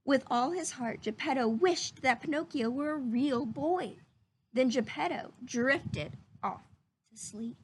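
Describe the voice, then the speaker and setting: A woman's voice: sits high, lively intonation, measured pace, slight background noise, poor audio. A woman reading a children's story aloud expressively, with little asides to her listeners.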